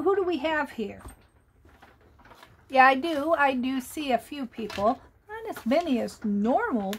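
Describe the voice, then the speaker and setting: Older woman as a children's storyteller: playful, chatty, high voice, slow and unhurried delivery. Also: a bit bright. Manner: asks the young listeners questions. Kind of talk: reading a picture book aloud to children.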